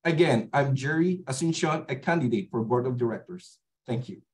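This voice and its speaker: A man's voice: mid-range voice, lively intonation, moderate pace. A man finishing a prepared campaign speech as a board candidate, earnest and formal.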